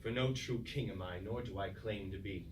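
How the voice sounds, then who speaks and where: A man's voice: on the low side, normal speed, lightly acted. An actor playing a grave, humbled, philosophical fallen robot king in stately stage-tragedy declamation. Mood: proud, sorrowful. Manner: stately, declamatory, archaic phrasing. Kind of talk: theatrical Greek-tragedy stage acting. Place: indoor room, staged reading at a university. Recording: distant, echoey recording, faint noise.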